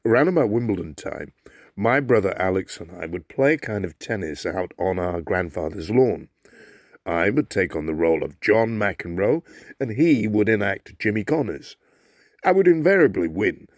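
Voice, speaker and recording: A man from Bangladesh reading a humorous memoir aloud in an expressive, theatrical storyteller's manner. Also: on the low side, dry recording, clean studio sound. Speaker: actor reading a book aloud.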